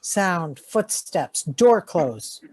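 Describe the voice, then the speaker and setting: A woman's voice: fairly low pitch, very animated, drawn-out words, darkish tone, breathy edge. A woman reading short sound-effect cues aloud in a radio play performance. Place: live online video call.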